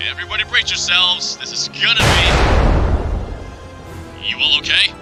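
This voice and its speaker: An actor playing a cocky, glib billionaire armored hero in a comic dub, flippant and full of wisecracks. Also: male voice, high-pitched, lively intonation, moderate pace. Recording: music behind the voice.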